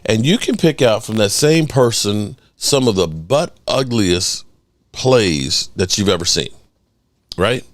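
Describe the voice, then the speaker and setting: A middle-aged man hosting a sports call-in show, animated and joking, swearing freely. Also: lowish voice, slow pace, no echo. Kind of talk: casual call-in show talk.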